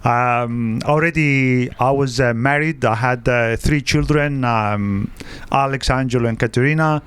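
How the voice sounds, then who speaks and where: A man in his fifties, born in Egypt with Greek as his first language, answering interview questions in halting English full of "uh" fillers. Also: mid-range voice, fairly bright tone, clear, resonant tone, no echo. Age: 50s. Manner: halting, frequent "uh" fillers, non-native grammar. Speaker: guest, wealth adviser. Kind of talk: podcast interview.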